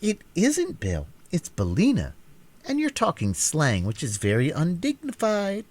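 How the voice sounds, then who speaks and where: A man's voice: high-pitched, huge pitch swings, a little fast, voice pushed up, full character voice. A man voicing an earnest, prim little girl in a storybook reading, apt to scold. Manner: reproving, earnest.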